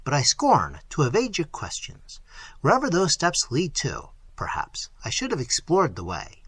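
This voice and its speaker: A bold young peasant man from a storybook, voiced by an audiobook narrator. He speaks frankly and courteously in archaic sentences, composed but bright, with a husky edge. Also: medium pitch, wildly swooping pitch, normal speed, no room sound, exaggerated voice. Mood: composed, defiant.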